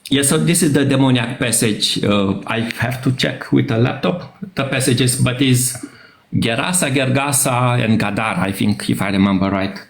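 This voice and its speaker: Romanian guy in a debate, stops a lot to find words, pretty average pitch. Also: creaky, roomy sound. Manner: halting, searching for words, fillers. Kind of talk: casual debate.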